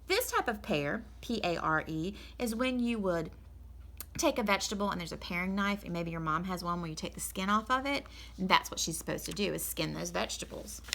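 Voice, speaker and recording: Casting: woman teacher for children's read-aloud, encouraging and explanatory, bright lively voice with some creak. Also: fairly low pitch, a little fast. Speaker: teacher reading a storybook to children.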